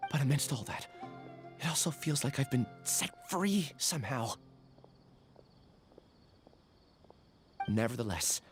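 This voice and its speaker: An actor playing a troubled, polite young amnesiac in a video game, hesitant, apologetic and earnest. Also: male voice, a little high, close to an everyday voice. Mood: pained, grateful. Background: game music.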